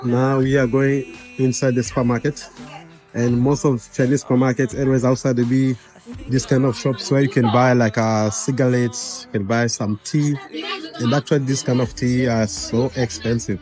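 A man's voice: mid-range voice, steady tone, normal speed, a little mellow, faint music behind. A young man vlogging in a shopping mall, explaining prices to the camera he holds at arm's length. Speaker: vlogger host. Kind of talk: casual tour narration. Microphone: phone camera at arm's length.

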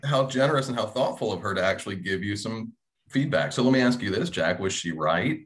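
A man's voice: fairly low pitch, animated, a little fast. A man in his thirties talking casually as a writer on a panel over a video call. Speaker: panelist, audio drama writer. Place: indoor room at home, video call.

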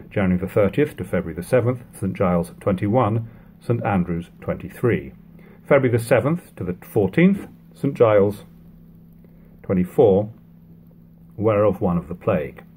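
Low-pitched man in his thirties, calm audiobook reader, slight hiss behind, home webcam recording. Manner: measured, even reading of old prose. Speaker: reader of a classic book. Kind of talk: unhurried audiobook reading. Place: living room at home.

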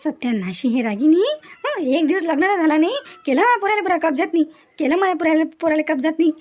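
Woman acting a high-pitched, spiteful scheming mother-in-law. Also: animated, fast talker, darkish tone. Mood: bitter, scheming. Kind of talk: cartoon family drama acting.

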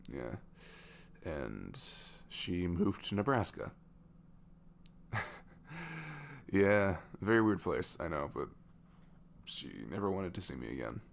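Sweet, wounded single dad played by a male actor for romantic ASMR audio: very deep, hesitant, intimate, with background noise. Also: vocal fry, full character voice. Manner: hesitant, soft-spoken, halting.

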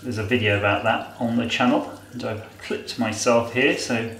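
A man's voice: mid-range voice, steady tone, moderate pace, a bit bright. Nasal, chatty man in an echoey bathroom. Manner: chatty, self-mocking, rambling asides. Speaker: vlogger. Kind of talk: casual how-to demo. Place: small tiled bathroom. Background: running tap water.

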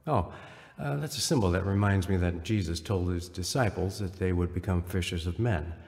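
Low-voiced, calm lawyer man. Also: normal speed, gravelly, big-room sound. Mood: earnest. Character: calm, devout male court-appointed attorney. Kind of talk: radio drama acting.

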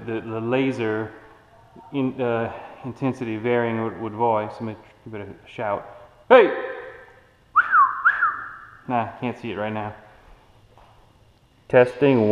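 A man's voice: medium pitch, measured pace, long pauses, long reverb tail, muffled recording, slight background noise. A young man casually demonstrating a homemade electronics build at home, explaining loosely and thinking aloud as he goes. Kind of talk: casual demo narration. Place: living room at home, tiled floor. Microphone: action camera on the room.

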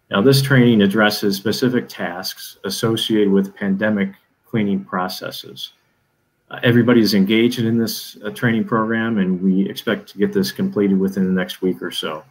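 A middle-aged man with a lowish voice delivers a businesslike facilities briefing from home over a webcam. He works through lists steadily, dotting them with ums and uhs. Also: rough recording. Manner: businesslike, reads lists, frequent "um" and "uh". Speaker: facilities administrator presenting an update. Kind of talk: prepared briefing on a video call. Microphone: video call webcam.

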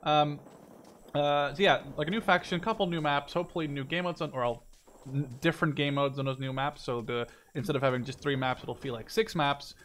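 A man's voice: a little high, fairly even intonation, fairly quick, fairly bright tone, slight background noise. A man giving casual, rambling gameplay commentary, informal and given to mild swearing. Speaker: gamer and video host.

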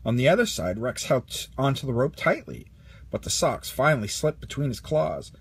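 A man narrating a children's storybook as an expressive read-along. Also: medium pitch, moderate pace, gravelly, husky. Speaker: storybook reader.

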